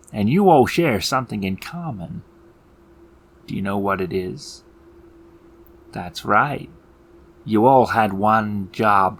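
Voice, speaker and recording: A man's voice: on the low side, a bit bright, exaggerated voice. A man voicing a menacing, mocking elf clown villain in a theatrical, taunting way for a tabletop game. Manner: theatrical, slow taunting delivery with sudden outbursts.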